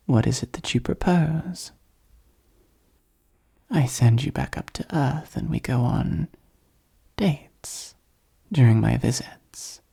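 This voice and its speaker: Breathy, whispering possessive demon played by a performer. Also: mid-range voice, warm tone, heavily acted. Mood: measured, fond. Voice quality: breathy, husky, much of it whispered. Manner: slow, formal, deliberate, intimate. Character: possessive demon ruler of hell, softly devoted. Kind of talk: ASMR roleplay monologue.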